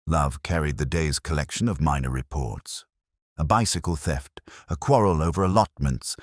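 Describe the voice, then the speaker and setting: A man with an extremely deep voice narrates a mystery in a measured, wry and unhurried way. His pitch rises and falls with lively movement. The recording is dry and close, with no room sound. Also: dry recording.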